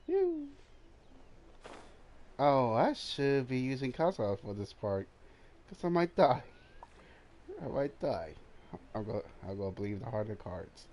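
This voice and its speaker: A man's voice: medium pitch, huge pitch swings, a little slow, pauses often, darkish tone. A man giving casual gameplay commentary as a streamer, muttering asides to himself as he plays. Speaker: gaming streamer.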